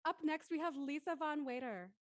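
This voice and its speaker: A woman's voice: high-pitched, lively intonation, normal speed. A woman hosting an online cast discussion, introducing people in an encouraging way. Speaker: host introducing cast members. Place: online group video call.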